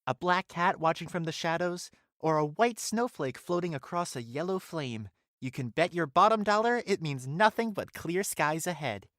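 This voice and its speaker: A woman's voice: on the low side, expressive, moderate pace, a bit bright, no echo, clean studio sound. A woman voicing an earnest, friendly young hero, politely and cheerily hosting a scripted holiday show. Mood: cheerful. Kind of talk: scripted show sign-off, audio drama.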